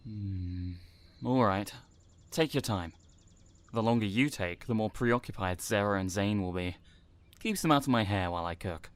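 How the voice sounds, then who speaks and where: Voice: low-pitched, normal speed, no room sound, natural voice. An actor playing a dry, teasing but caring male housemate in an audio drama, wry and casual.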